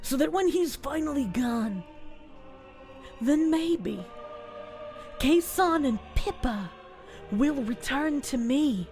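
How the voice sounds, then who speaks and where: A woman acts out a self-pitying, obsessed man blaming the world in a melodramatic reading. The delivery is theatrical and anguished, high in pitch and swinging widely, with faint music underneath. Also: lively intonation, slow pace, pauses often. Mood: hateful, desperate. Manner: theatrical, anguished, shouting outbursts. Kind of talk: dramatic reading of social media posts.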